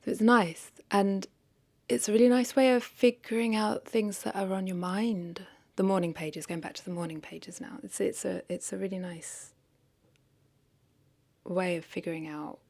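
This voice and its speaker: A woman's voice: fairly low pitch, steady tone, measured pace, creaky, darkish tone. A woman talking casually in an unscripted vlog, rambling and correcting herself as she thinks aloud. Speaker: content creator, vlogger.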